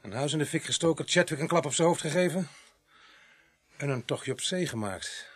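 An actor playing a sardonic, bossy police sergeant in a radio play, brisk and commanding. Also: male voice, fairly high pitch, lively intonation, husky. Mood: amused, musing. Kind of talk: radio drama acting.

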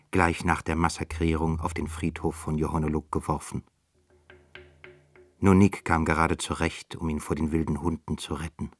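A male narrator reading a radio drama in a measured, grave storytelling manner. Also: extremely low pitch. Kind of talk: scripted narration. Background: music.

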